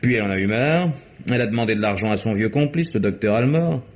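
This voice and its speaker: Cool, low-voiced private detective played by a man. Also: animated, natural voice. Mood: dry, insistent. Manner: dry, measured, needling. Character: cool, sardonic, dogged male private detective. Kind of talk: French radio crime drama acting. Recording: dull, faintly noisy old radio recording.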